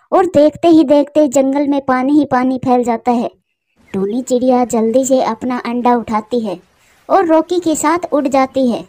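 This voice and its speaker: A woman's voice: high voice, dark tone, no echo. A woman narrating a scripted children's story as the storyteller.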